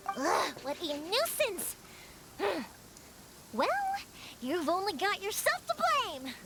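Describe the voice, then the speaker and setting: A woman voicing a spirited, kind-hearted young fireworks maker in an anime video game, with a girlish, bold and expressive delivery. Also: slow, exaggerated voice. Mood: cocky, exasperated. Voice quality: high, girlish. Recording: game music behind the voice.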